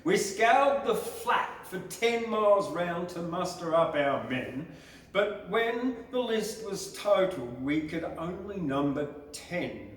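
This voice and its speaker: A man reciting a bush ballad as a poetry reader, in a theatrical, deliberate storytelling style. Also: high-pitched, expressive. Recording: very echoey, distant microphone.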